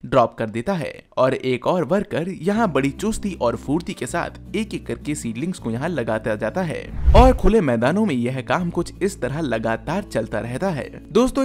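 Lively male documentary voice-over. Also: medium pitch. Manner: addresses viewers as friends, explanatory. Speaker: documentary narrator. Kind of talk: scripted voice-over narration. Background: soft background music.

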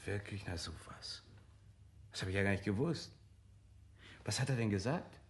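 Schauspieler als redseliger, lebhaft betonender Gentleman. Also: lowish voice, expressive, moderate pace, close to an everyday voice. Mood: excited. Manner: emphatic, theatrical, chatty. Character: chatty, opinionated gentleman, excitable amateur sleuth. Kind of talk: German radio crime drama acting.

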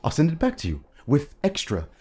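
A man's voice: a little high, huge pitch swings, fairly quick, warm tone, slightly rough, exaggerated voice. A man playing a proud, formal young father. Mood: earnest. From Sierra Leone. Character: proud Sierra Leonean dock worker and father, young adult man. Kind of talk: audio drama acting.

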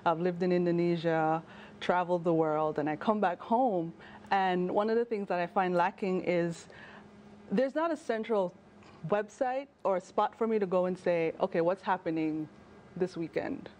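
Chatty Jamaican woman, slightly low voice. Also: normal speed, a little hiss or hum. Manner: animated, chatty, frequent fillers and restarts. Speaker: travel journalist guest. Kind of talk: live TV studio interview. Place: TV news studio. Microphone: lapel mic.

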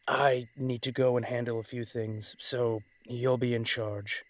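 A man acts out a male dragon-shifter crime boss in an audio roleplay. His medium-pitched voice is authoritative and measured. He sounds weary yet firmly in command, delivering a dramatic monologue. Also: little pitch movement, close to an everyday voice. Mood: grave, commanding.

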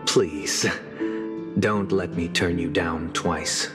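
An actor playing a refined, pious and controlling young nobleman in a video game, speaking formally with measured, stern courtesy. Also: low voice, steady tone. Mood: cool, firm. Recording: long reverb, loud music behind. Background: game soundtrack music.

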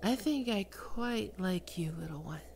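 Vrouw speelt een zwoele, heerszuchtige demonenkoningin. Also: female voice, mid-range voice, animated, creaky, a little mellow, a little hiss or hum. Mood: sultry. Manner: slow, sultry, domineering, often whispering. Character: seductive, imperious female demon queen of lust. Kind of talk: ASMR roleplay audio drama.

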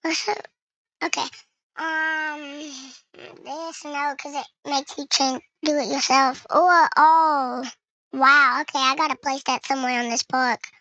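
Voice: high voice, fairly even intonation, takes it slowly, pushed and bright, no echo, muffled recording. A young gamer giving casual gameplay commentary, with the voice run through a voice changer. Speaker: gaming video creator.

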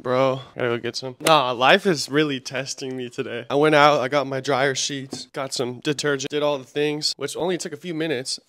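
A man's voice: mid-range voice, fairly bright tone, dry recording, clean studio sound. A man vlogging in a casual, slangy way, talking straight to his viewers. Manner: laid-back slangy talk to viewers. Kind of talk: casual vlog chat.

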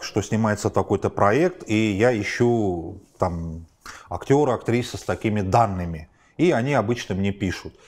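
A low-pitched man in his forties talks through an interview in a modern apartment. He piles up examples and pushes his emphasis higher as the list goes on. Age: 40s. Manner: animated, lists examples with rising emphasis. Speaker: film director. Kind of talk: interview answers. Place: high-rise apartment at night, indoors.

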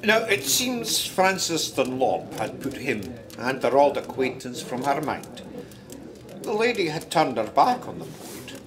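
An actor playing a wistful, gossipy Scottish narrator in a radio drama, musing conversationally with hesitant asides. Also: male voice, medium pitch, sing-song, unhurried, background noise. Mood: rueful, musing. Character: wistful, gossipy male Scottish storyteller, fond of his friend.

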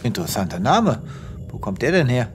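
A man's voice: medium pitch, very animated, natural voice. An actor playing a self-important, easily exasperated male space vet in a comedic sci-fi audio drama, pompous and brusque. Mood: curious, smug. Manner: pompous, brusque, halting when flustered.

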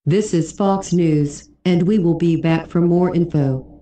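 A woman presents a scripted news report as anchor. Her voice is very low and dark, with a noticeable echo around it. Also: measured pace.

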